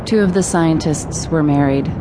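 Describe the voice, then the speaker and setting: Woman acting cold, low-pitched corporate mission leader. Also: normal speed, noisy background, close to an everyday voice. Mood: businesslike. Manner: clipped, businesslike, detached. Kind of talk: audio drama acting. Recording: loud soundtrack under the voice. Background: loud music and sound effects.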